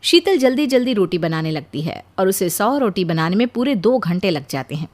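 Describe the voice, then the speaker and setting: A woman narrator reading a scripted animated family story. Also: mid-range voice, normal speed.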